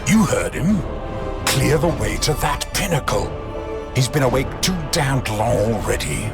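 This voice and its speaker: An actor playing a gruff, impatient soldier who barks out irritated orders. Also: male voice, medium pitch, animated, a little slow. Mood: brusque. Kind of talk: dramatic game voice acting. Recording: very loud music over voice.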